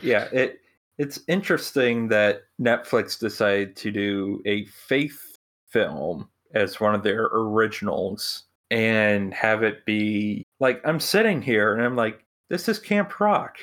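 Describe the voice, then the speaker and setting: A man hosting a podcast in a casual film-review chat, blunt and given to pauses. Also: on the low side, animated, fairly bright tone. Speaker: podcast host.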